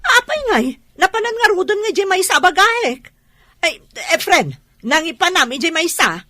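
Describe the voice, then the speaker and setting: An actress with an Ilocano accent playing a fussy, exasperated aunt home from abroad in a comedy drama. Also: female voice, extremely high pitch, big pitch swings, moderate pace, bright, forceful tone, no echo, clean studio sound, heavily acted. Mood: indignant.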